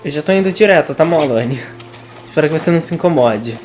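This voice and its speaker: A woman's voice: low-pitched, lively intonation, very fast, darkish tone. A woman with a Brazilian Portuguese accent doing casual, playful game commentary in a joking, slangy way. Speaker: gaming YouTuber. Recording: game music behind the voice.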